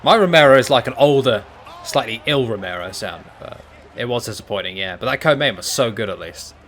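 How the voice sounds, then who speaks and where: A young man casually commentating a fight video game, excitable and breaking into shouts at big moments. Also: medium pitch, a little fast.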